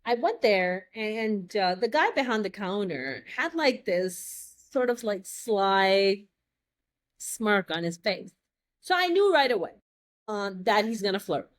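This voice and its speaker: A young adult American woman telling stories in a casual chat, lively and blunt, joking and swearing freely. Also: mid-range voice, takes it slowly. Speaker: guest.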